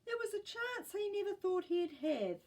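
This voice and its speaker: Woman voicing a high-pitched elderly great-grandmother, wistful. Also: big pitch swings, normal speed, heavily acted. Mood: fond, nostalgic. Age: older. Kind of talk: storybook character voice.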